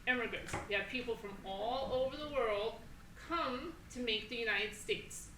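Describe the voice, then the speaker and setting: A woman moderating an assembly, explaining things deliberately and repeating phrases for emphasis, recorded in an echoey hall. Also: fairly high pitch, bright, forceful tone, far from the mic. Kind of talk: educational talk to an assembly. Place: school assembly, speakers on a stage. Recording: echoey hall from afar, faint noise.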